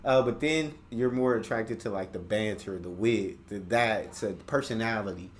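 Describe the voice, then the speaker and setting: American dude doing reaction vids, kinda low voice, slangy and chill, bit of hiss behind. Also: male voice, moderate pace. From United States. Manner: laid-back, slangy, chatty. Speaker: reaction channel host. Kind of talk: casual reaction commentary.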